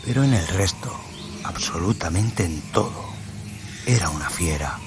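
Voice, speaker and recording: A man narrating a story in a dramatic radio-play style, solemn and suspenseful, with phrase endings that drop to a hush. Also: low voice, expressive, a little slow, background noise. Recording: music bed under the voice. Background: music.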